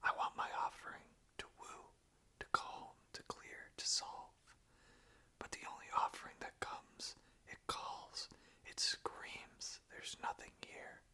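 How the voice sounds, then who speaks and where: An ASMR host speaking in a breathy, unvoiced whisper, gentle and enthusiastic in manner. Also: takes it slowly. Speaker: ASMR host chatting about and reading song lyrics.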